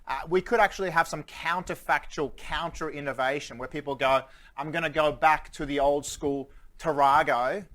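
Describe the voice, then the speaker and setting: A man's voice: a little high, a bit bright. A man from Sweden with a Swedish-Australian accent, giving a conference keynote as a futurist, joking with the audience and asking them questions. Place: conference stage before a live audience.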